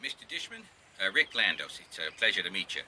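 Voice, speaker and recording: Guarded, suspicious young briber. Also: male voice, low voice, sing-song, fast talker, bright, forceful tone, a little hiss or hum, heavily acted, low-quality recording. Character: smooth, suspicious young man delivering a bribe. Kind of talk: radio drama acting.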